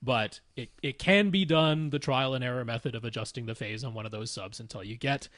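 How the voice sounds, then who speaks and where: A man co-hosting a podcast, giving casual technical explanations in a measured, even-handed way. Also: mid-range voice, dry recording, clean studio sound. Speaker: podcast co-host.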